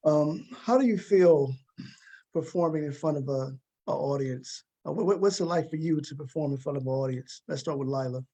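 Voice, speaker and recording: A male talk show host with a fairly high-pitched voice. He puts questions in a conversational way. His delivery is halting, and he often repeats a word before moving on. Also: warm tone. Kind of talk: conversational interviewing.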